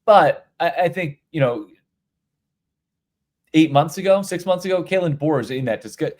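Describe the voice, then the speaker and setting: A man's voice: on the high side, animated, normal speed, a little mellow. A middle-aged man co-hosting a podcast, talking college football casually with many restarts and repeated words. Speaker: podcast co-host. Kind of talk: casual sports talk.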